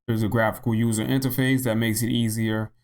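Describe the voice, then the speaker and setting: A man narrating a software tutorial in a casual, unscripted way, thinking aloud and repeating himself, his voice slightly hoarse. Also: medium pitch, little pitch movement, a little fast. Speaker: tutorial host.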